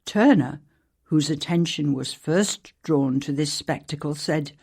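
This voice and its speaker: A woman narrating an archaic newspaper account as a ghost story, in a measured, formal storytelling manner. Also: deep voice, darkish tone, breathy edge. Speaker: actor reading a historical newspaper article as a ghost story.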